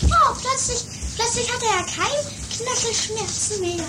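A woman's voice: very high-pitched, lively intonation, normal speed, very noisy. A woman playing a bickering, suspicious, theatrical lady in an improvised trashy comedy audio drama. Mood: snippy. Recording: amateur 1980s radio play recording.

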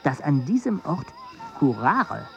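An actor voicing a male companion who narrates past expedition events in a measured way, in a radio play. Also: a little high, sing-song, vocal fry, darkish tone, dull audio, heavily acted. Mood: calm.

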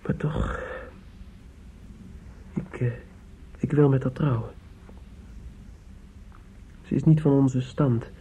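An actor playing an earnest, respectful young son in a radio drama, speaking hesitantly and deferentially. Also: male voice, mid-range voice, steady tone, takes it slowly, long pauses, dark tone, natural voice. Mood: nervous, earnest. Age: young adult. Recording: muffled, noisy old radio play recording.

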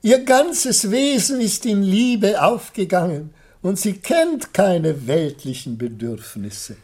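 Husky, grandiloquent middle-aged father. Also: sits high, sing-song, slow, darkish tone, full character voice. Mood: rapturous pride. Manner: grandiloquent, declamatory, effusive. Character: proud, rapturous father Ambrosius, besotted with his artwork. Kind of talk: theatrical German radio play acting.

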